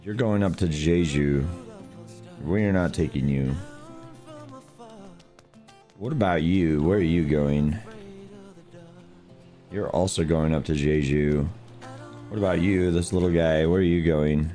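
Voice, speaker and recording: A man streaming a driving game with a hoarse, unusually deep voice from losing it, talking quietly and chatting with viewers. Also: slow, long pauses, soft background music. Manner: talks quietly to spare his voice; chats to viewers, chuckles at himself. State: hoarse, lost voice. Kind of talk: casual livestream commentary while playing.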